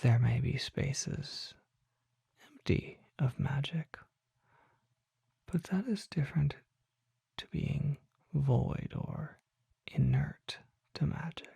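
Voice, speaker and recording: An ASMR performer voicing a mysterious, learned vampire apothecary in a breathy, husky whisper, speaking formally in slow, deliberate phrases with measured pauses. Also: mid-range voice, warm tone, no echo, full character voice. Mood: hushed, mysterious. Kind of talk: whispered ASMR roleplay, slow scripted monologue. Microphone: close microphone.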